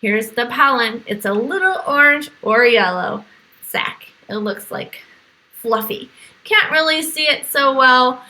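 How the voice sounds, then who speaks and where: High, bright-voiced woman teaching young children. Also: measured pace, rough recording. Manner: animated, exclaiming and questioning as if to young children. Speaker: teacher reading to a virtual class. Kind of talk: children's read-aloud with teaching asides.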